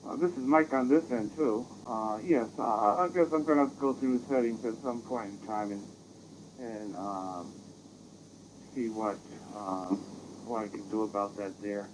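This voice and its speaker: An American man chatting casually as an amateur radio operator, rambling and halting, heard through a digital radio transmission. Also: fairly low pitch. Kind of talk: casual radio contact chat. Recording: dull, noisy digital radio audio.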